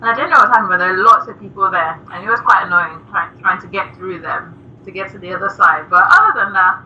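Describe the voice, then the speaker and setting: A young woman from Nigeria, a musician guest, chatting softly and giggling with lots of fillers. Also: medium pitch, fast. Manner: soft-spoken, giggly, with many fillers. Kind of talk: casual interview chat. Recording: distant, dull lo-fi remote call with faint noise.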